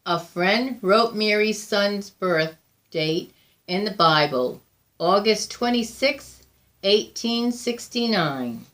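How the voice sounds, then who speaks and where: A woman reading a picture book aloud as a storyteller, deliberate and expressive, with frequent pauses. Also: medium pitch. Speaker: volunteer storybook reader.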